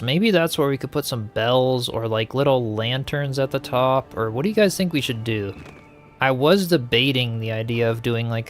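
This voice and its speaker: A man with a gravelly, mid-pitched voice chats easily with his viewers while building in a game. He muses aloud, hesitates, and trails off as he goes. Faint music plays behind him. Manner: easygoing, musing, hesitant. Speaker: game streamer. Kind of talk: casual gameplay chat, reading viewer comments.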